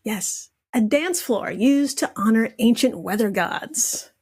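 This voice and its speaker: A woman's voice: a little high, lively intonation, slow pace, a little mellow, breathy edge. A woman with a giggly way of talking, presenting a trivia game as a podcast guest in a playful, casual style. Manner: giggly, breaks into laughter while talking.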